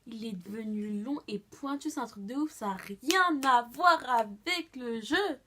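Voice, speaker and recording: A woman's voice: fairly high pitch, expressive, measured pace, pushed and bright, slight background noise. Young woman in casual, slangy reaction chat. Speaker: vlogger reacting to a cartoon.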